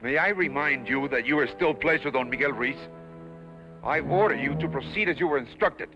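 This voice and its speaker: Officious, higher-voiced western man played by an actor. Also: moderate pace, dull audio, music in the background, lightly acted. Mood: indignant, stern. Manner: formal, commanding. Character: stiff, officious man giving orders. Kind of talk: dramatic western acting.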